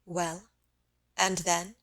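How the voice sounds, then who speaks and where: A woman's voice: fairly low pitch, flat delivery, extremely slow, fairly bright tone, recorded from a distance. A woman narrator voices a husband in an audiobook, humbled and sorrowful. He questions his wife in a subdued, unassertive way, with little force behind the words. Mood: sad, quiet. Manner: humble, indifferent-sounding, sad.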